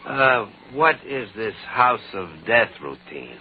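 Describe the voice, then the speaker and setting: A skeptical, gruff police lieutenant in an old 1940s radio serial. His medium-pitched voice swings widely as he talks in blunt slang. The sound is narrow and tinny, like an old broadcast. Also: very animated, takes it slowly, heavily acted.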